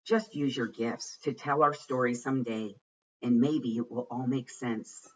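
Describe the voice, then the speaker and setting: A man giving an expressive read-aloud of a rhyming children's picture book, with deliberate, dramatic phrasing. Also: mid-range voice. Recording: muffled, distant microphone.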